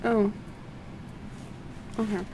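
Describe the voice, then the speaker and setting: A woman livestreaming a game, halting and a little unwell, reading quest text and commenting casually on her play. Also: mid-range voice, fairly even intonation, background noise. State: feeling unwell.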